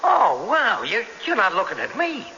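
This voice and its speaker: An actor playing a jittery, defensive young hipster crook who protests in excitable beatnik slang, in 1970s radio drama style. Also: male voice, sits high, normal speed, exaggerated voice. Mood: evasive, defensive. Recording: dull, noisy old radio recording, reverberant hall.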